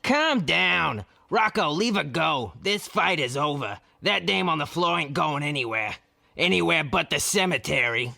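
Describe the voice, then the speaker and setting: A man's voice: fairly high pitch, expressive, a little slow, vocal fry, sharp tone, dry recording, clean studio sound, heavily acted. A man voicing a suspicious, commanding gangster boss with tough old-time mobster slang in an audio drama.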